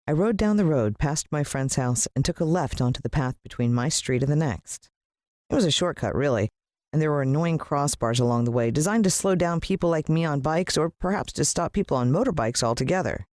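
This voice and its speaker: An American woman narrating a children's story in an expressive, dramatic storytelling manner. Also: very deep voice, a little fast, no room sound, studio quality. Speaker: audiobook narrator.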